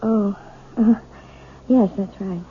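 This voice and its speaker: A woman playing a gentle, anxious married piano teacher in a radio drama, speaking hesitantly and evasively. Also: medium pitch, steady tone, takes it slowly, husky. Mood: flustered, defensive. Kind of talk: 1970s radio drama acting. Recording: muffled, phone-like old radio recording.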